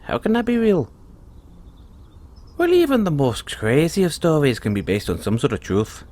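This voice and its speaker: A cheerful leprechaun, played by a man speaks straight to the listener in an audio roleplay. His voice sits a little high and leaps up and down dramatically. He is kindly and a touch sly, with a teasing, folksy way of talking. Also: huge pitch swings, moderate pace, darkish tone. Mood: curious, sly. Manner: chuckling, teasing, folksy talk.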